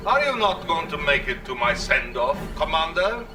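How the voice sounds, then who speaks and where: Theatrical game villain, Soviet premier, Russian accent, higher-pitched, mocking, gloating, pounding music. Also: male voice, big pitch swings, moderate pace. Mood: smug, taunting. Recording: distant, under very loud game music.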